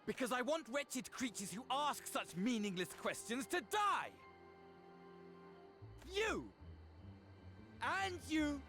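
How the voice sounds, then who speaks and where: Unhinged theatrical game villain, high voice all over the place, mocking fake manners, doomsday showman, faint music behind. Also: big pitch swings, takes it slowly, pauses often, sharp tone, heavily acted. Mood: manic, ecstatic. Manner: theatrical, flamboyant, mock-courteous, swinging from purr to shriek. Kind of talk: video game cutscene voice acting.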